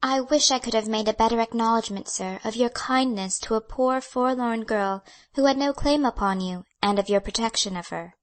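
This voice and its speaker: A man reading aloud and voicing a timid, earnest young woman who speaks softly and humbly. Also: extremely high pitch, normal speed, few pauses, voice pushed up. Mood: grateful. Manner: soft, humble, deferential.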